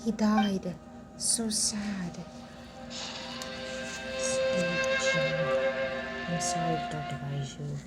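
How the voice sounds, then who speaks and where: Slow-talking woman over loud game music. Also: medium pitch, wildly swooping pitch. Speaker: gaming streamer. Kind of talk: casual gameplay commentary. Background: video game music.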